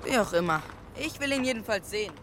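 A woman's voice: medium pitch, expressive, quick pace, background noise, lightly acted. A woman playing a cheeky, boastful truant schoolboy in a children's radio play, flippant and taunting.

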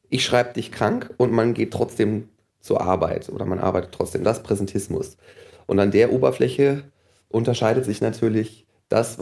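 A man, a lecturer guest with a cold, explaining things in a relaxed, easy way. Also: medium pitch. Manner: relaxed, explanatory, laughs easily. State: has a cold. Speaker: guest, university lecturer and psychologist. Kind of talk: casual interview answers. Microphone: phone line.

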